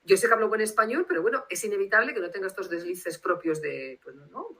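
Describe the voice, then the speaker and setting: A woman's voice: medium pitch, quick pace, a bit bright, husky. A woman from Bilbao with a Basque Spanish accent, speaking as a guest translator on a video call in a reflective, rambling way. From Spain, Bilbao.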